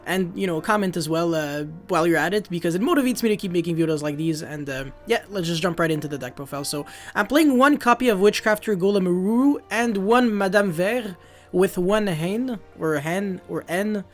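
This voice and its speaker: A man's voice: high voice, a little fast, faint music behind. Young French-native man, chatty and loose, fumbles names and laughs it off, home desk setup. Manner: rambling, self-correcting, laughs at own slips. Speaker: card game youtuber. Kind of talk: casual deck profile walkthrough.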